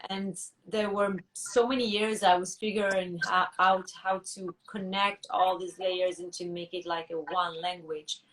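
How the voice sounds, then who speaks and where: A woman musician answering interview questions thoughtfully, pausing and restarting often as she searches for words. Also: fairly low pitch, fairly even intonation, a bit bright, distant mic, poor audio. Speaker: musician guest.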